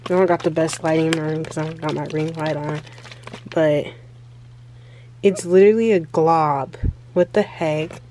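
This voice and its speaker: A woman's voice: sits low, steady tone, normal speed, long pauses, dark tone, a little hiss or hum. A young woman in her twenties, chatting casually to camera in her small bedroom, candid and loose with plenty of fillers. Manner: chatty, candid, lots of fillers and trailing thoughts. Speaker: vlogger. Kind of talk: casual vlog talk to camera.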